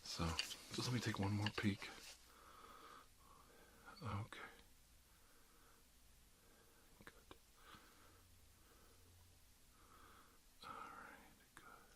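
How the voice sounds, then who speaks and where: A man playing a gentle, reassuring dentist in a soft-spoken ASMR roleplay, murmuring hesitantly and often slipping into a whisper. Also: on the low side, fairly even intonation, slow pace. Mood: calm, kindly. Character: gentle dentist treating a vampire patient.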